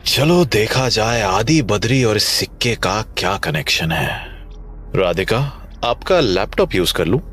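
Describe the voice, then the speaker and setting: Calm male investigator acted by a man, horror cartoon, low-pitched and unhurried, faint noise behind. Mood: thoughtful. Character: calm, analytical male investigator of a cursed coin. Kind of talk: animated horror story dialogue.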